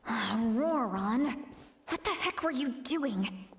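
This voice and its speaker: Fed up bossy tribe lady from a video game, scolding, high n really lively. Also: slow. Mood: exasperated. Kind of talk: video game character voice acting.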